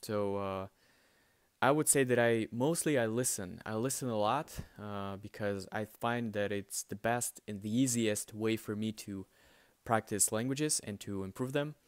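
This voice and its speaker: A man's voice: lowish voice, a little slow, clean tone, dry recording, clean studio sound. A young man, a native Russian speaker with a light Russian accent, answering viewer questions in a casual, hesitant way with many fillers and restarts. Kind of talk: casual spoken Q&A.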